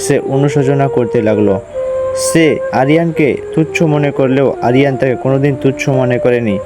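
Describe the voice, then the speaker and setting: Male cartoon storyteller over loud music. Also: mid-range voice, steady tone, brisk. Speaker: narrator. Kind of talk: scripted storytelling narration for a cartoon.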